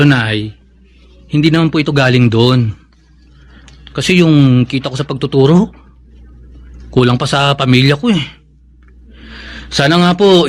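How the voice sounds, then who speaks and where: A man's voice: mid-range voice, lightly acted. An actor with a Filipino accent playing a stubborn, ambitious schoolteacher and family man in a radio drama, earnest and emphatic. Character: stubborn, ambitious schoolteacher husband, father and son.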